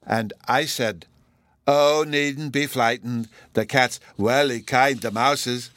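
A man's voice: medium pitch, slow, a bit bright, no room sound, clean studio sound, voice pushed up. A man voicing an earnest, stubborn small boy who talks in childish grammar with babyish mispronunciations.

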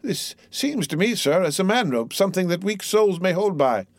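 A man's voice: sits high, sing-song, normal speed, no room sound, pitched above the performer's own voice. A man voicing a half-mad, frightened young cabin boy in an audiobook, with a singsong, rambling manner. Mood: tender wonder.

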